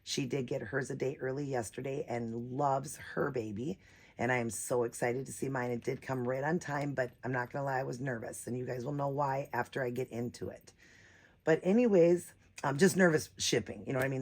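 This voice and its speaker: A woman with a naturally raspy voice, a bit hoarse from a cold, chatting casually as she hosts a doll unboxing vlog. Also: extremely low pitch, a little fast, a little mellow. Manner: chatty, rambling, many fillers. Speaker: reborn doll channel host.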